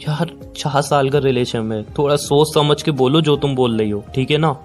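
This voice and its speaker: A man's voice: medium pitch, moderate pace, faint music behind, close to an everyday voice. A young man playing a hurt, incredulous boyfriend in an audio call drama, pressing and pleading in an exasperated way. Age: young adult. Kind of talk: acted phone-call drama in conversational Hindi with some English.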